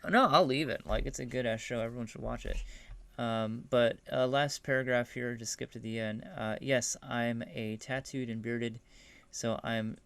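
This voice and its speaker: A man co-hosting a podcast, chatting casually or reading aloud in a loose, halting, slangy way. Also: mid-range voice, steady tone, gravelly, a bit bright, clean tone, no echo. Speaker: podcast co-host.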